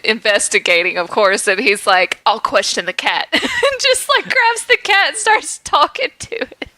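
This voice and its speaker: A woman's voice: a little high, lively intonation, moderate pace, bright, forceful tone, dry recording. A woman co-hosting a podcast in casual chat, giggly and enthusiastic as a fan. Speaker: podcast co-host.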